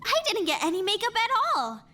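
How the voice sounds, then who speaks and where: A woman playing a casual, gently curious young investigator in an animated murder mystery. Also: very high voice, fairly quick, slight background noise, heavily acted.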